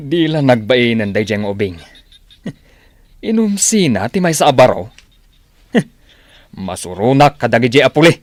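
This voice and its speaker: A man's voice: mid-range voice, very animated, slow, pauses often, darkish tone, no echo. A man playing a plain-spoken rural husband and father in a radio drama, with an Ilocano Filipino accent. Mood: amused.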